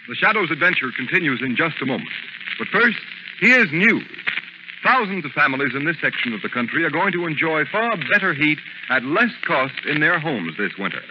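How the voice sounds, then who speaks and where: A man's voice: fairly high pitch, big pitch swings, fairly quick. A man announcing in an old-time radio advertising style, polished and emphatic. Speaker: radio announcer and sponsor pitchman. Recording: muffled, very noisy vintage radio recording.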